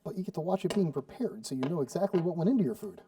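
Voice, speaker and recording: A man's voice: high voice, expressive, rapid-fire, mellow, dry recording, far from the mic. Chatty man cooking, heard from afar. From Sweden. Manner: chatty, rambling with many fillers and restarts. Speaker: cooking show host. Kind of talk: casual cooking demonstration. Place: studio kitchen.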